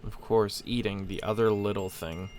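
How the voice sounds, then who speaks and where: A man's voice: lowish voice, fairly even intonation, moderate pace, noisy background. A man giving casual let's-play commentary, musing aloud as he thinks through puzzles. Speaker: gaming host, player. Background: game music.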